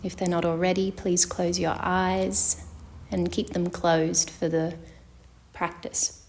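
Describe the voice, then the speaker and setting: A woman with a slightly low voice guides a yoga nidra practice. Her delivery stays flat and steady, giving calm, measured instructions. She leaves long pauses between phrases, as a meditation teacher does. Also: slight background noise.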